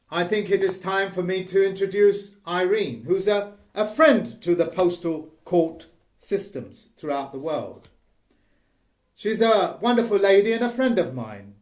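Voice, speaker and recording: A man with a somewhat high voice talks at a slow, deliberate pace. He hosts the program and delivers his points emphatically, leaning hard on each phrase. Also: lively intonation. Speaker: host. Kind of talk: emphatic monologue.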